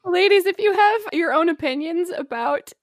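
A woman's voice: extremely high pitch, expressive, moderate pace, no echo, studio quality. A woman hosting a podcast, chatting casually and wrapping up the show in an upbeat, giggly way. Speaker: podcast host. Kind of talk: casual chat and show outro.

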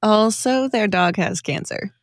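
A woman playing a teasing, matter-of-fact ghostly figment with a wry, deadpan manner in a comedic radio play. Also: medium pitch, measured pace, no room sound, studio quality, close to an everyday voice. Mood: wry, pointed.

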